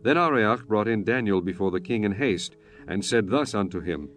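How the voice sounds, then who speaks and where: A man's voice: fairly low pitch, lively intonation, normal speed, fairly bright tone, soft background music. A man giving scripted narration for a dramatized Bible reading.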